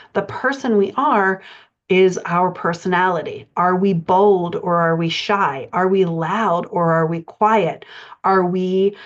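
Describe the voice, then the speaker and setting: A woman giving an instructional talk as a writing coach, explaining step by step and posing rhetorical questions. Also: fairly low pitch. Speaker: writing coach and podcast presenter. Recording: dull, lo-fi audio.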